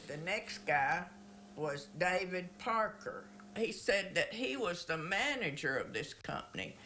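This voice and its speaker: A woman giving an unscripted interview account of her experience, plainspoken and a little halting. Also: fairly low pitch, big pitch swings, sharp tone, slight background noise.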